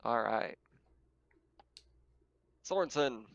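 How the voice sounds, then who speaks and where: Slow, halting man game master. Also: mid-range voice, expressive, creaky, a bit bright, muffled recording. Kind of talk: casual tabletop roleplaying narration.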